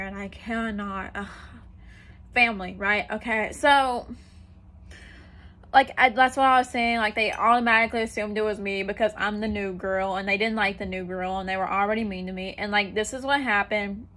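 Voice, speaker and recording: A woman's voice: medium pitch, fairly bright tone. A young woman telling a story in a casual, rambling way, filled with "like" and "you know", sitting on the floor of a small room and talking to her phone. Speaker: vlogger.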